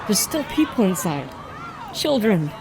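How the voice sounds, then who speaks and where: Man acting a panicked young shop worker in loud crowd. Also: high voice, very animated, unhurried, exaggerated voice. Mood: frightened. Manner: hesitant, stammering. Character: panicked, stammering young male department store worker. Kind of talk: audio drama acting. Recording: distant voice amid loud crowd noise.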